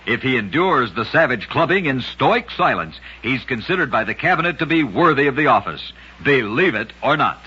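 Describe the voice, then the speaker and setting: A man narrating strange true facts in a scripted old-time radio style, with a brisk, dramatic storytelling cadence. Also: medium pitch, very animated, dull audio.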